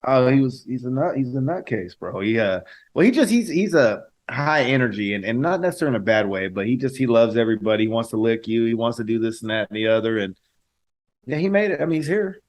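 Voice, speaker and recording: A man's voice: mid-range voice, animated, quick pace. A young man co-hosting a podcast, joking bluntly in casual chat and swearing freely. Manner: joking, blunt, swears and says "bro" a lot. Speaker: podcast co-host.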